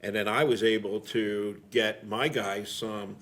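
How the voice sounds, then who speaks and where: A man's voice: lowish voice, fairly even intonation, measured pace, a bit bright. A middle-aged man telling stories casually as an interview guest, plain-spoken and rambling, with frequent filler phrases. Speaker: interview guest, veteran firefighter.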